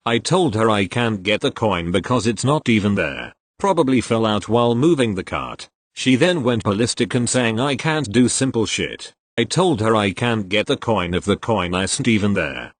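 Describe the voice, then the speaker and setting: A man reading online posts aloud as the regular reader of the channel, in an expressive storytelling way. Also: fairly low pitch, moderate pace, few pauses, no room sound.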